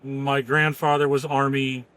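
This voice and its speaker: A man's voice: mid-range voice, little pitch movement, unhurried, fairly bright tone, no echo. A man from near Philadelphia, a former Marine and company founder, telling his story in an easygoing, matter-of-fact way. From Pennsylvania, near Philadelphia. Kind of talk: casual interview.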